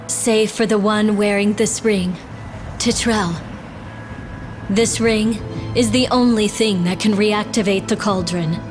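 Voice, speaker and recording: Actress as young princess, solemn, resolute, formal, medium pitch, video game drama, prominent music behind. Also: female voice, steady tone, takes it slowly, lightly acted. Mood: earnest, resolute. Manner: formal, earnest.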